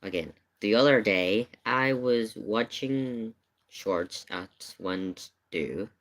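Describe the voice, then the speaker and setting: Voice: mid-range voice, slow. A streamer chatting casually and telling a rambling story, with hesitant, repetitive phrasing. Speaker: live streamer reacting to videos. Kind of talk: casual livestream chat, rambling anecdote.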